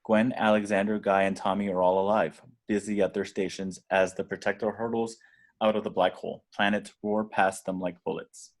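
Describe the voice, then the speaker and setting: Deep voiced guy reading script action lines, dramatic narration, deliberate. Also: flat delivery. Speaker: stage directions reader.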